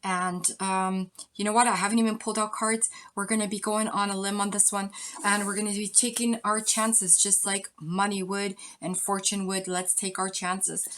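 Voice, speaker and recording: A woman's voice: medium pitch, little pitch movement, moderate pace. A woman giving a pick-a-card tarot reading, speaking straight to the viewer in a coaching tone with frequent ums. She is losing her voice. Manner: warm direct address with many ums, coaching tone.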